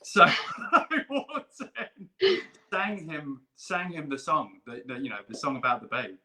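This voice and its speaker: A man telling eager, rambling stories on a video call, like an excited fan. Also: a little high, measured pace, low-quality recording. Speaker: guest puppeteer and performer. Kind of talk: casual chat.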